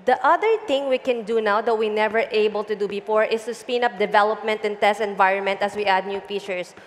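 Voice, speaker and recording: A woman in her thirties explaining a data project in a measured way, using a headset mic on a conference stage. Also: mid-range voice, fairly even intonation, big-room sound. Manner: measured, explanatory, some fillers. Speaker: guest, data engineering lead. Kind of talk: onstage tech interview. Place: large conference stage before an audience.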